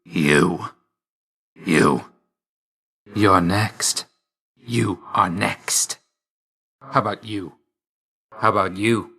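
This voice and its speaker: A man playing a grim, aloof god of death in a video game, terse and deadpan, stern yet grudgingly loyal. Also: fairly low pitch, drawn-out words, gravelly, long pauses, husky, exaggerated voice. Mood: cold, menacing. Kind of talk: scripted game character lines.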